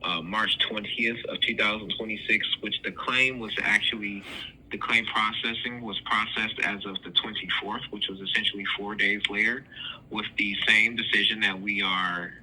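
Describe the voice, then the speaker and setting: Male insurance adjuster, formal phone manner. Lowish pitch, hard piercing tone, firm, repetitive delivery. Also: fairly even intonation, moderate pace, pushed and bright, breathy edge, low-quality recording. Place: phone call.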